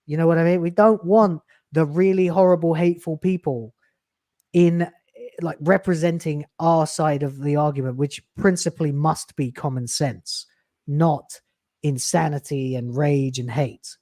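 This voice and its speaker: A man's voice: a little high, fairly even intonation, a little slow, dark tone, dry recording, clean studio sound. A man in his late thirties, a YouTuber talking in a casual podcast chat, blunt and profane, with emphatic swings in delivery. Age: late 30s. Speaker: YouTuber guest.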